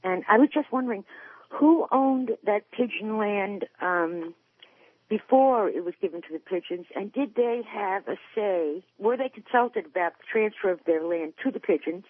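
A woman's voice: medium pitch, lively intonation, moderate pace, slightly rough, dry recording. A woman in her sixties calling in to a radio show, rambling and hesitant, with lots of ums. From New York State, USA. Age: 60s. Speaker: caller. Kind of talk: phone-in conversation. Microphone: telephone. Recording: tinny phone line.

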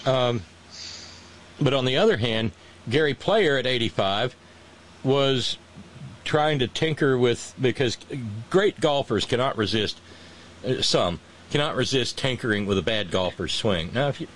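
A man's voice: mid-range voice, lively intonation, a little slow, a little hiss or hum, low-quality recording. A man hosting a casual political chat, sarcastic and mocking, often restarting his sentences. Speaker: podcast host.

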